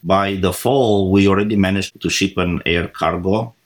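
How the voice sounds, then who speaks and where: A man from Romania in his forties speaks as an interview guest. His voice sits very low, and he lays out his reasons in a measured, deliberate way. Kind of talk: interview answers.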